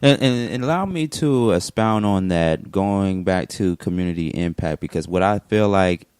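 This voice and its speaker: A man's voice: low voice, normal speed, darkish tone, clear, resonant tone, no echo, studio quality. A man hosting a morning radio show, asking long, rambling questions full of restarts.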